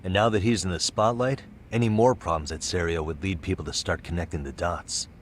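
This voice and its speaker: An actor playing a cool, probing private detective with a wry, measured delivery in a video game cutscene. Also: male voice, low-pitched, a little hiss or hum, natural voice. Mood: probing, confident. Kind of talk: video game cutscene acting, English dub.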